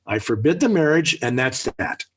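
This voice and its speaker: A man's voice: mid-range voice, very animated, moderate pace, fairly bright tone, a little hiss or hum. An actor playing an exasperated, blustering middle-aged father in an emphatic, flustered 1940s radio sitcom style. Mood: grumbling, stubborn. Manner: emphatic, flustered, sputtering indignation. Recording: old 1940s radio recording.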